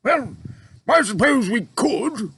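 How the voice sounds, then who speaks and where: A man's voice: very high-pitched, big pitch swings, a little slow, vocal fry, bright, forceful tone, slight background noise, pitched above the performer's own voice, heavily acted. A man voicing a proud, touchy air force commander who sounds pompous and affronted.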